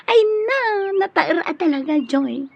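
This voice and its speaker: A woman's voice: sits high, creaky, dry recording, heavily acted. An actress with an Ilocano accent voicing a giggly, teasing, lovestruck young woman in a radio drama. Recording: dull lo-fi audio, faint background noise.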